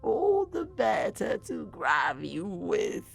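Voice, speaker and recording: A woman voicing a sly, menacing wolf in a children's audio fairy tale, gravelly and coaxing. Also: medium pitch, wildly swooping pitch, takes it slowly, faint music behind, full character voice. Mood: hungry, menacing.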